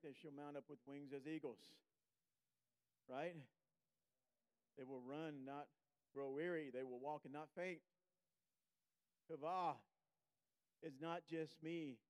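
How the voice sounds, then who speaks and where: A man preaching a sermon as a guest, slow and emphatic, sniffling a little. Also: on the high side.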